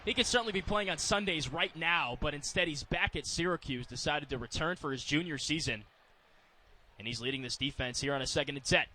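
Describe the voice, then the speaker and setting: An American man works as a radio football announcer, calling the action live. His voice is bright and forward, pitched on the high side. He describes the field in clipped phrases. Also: brisk.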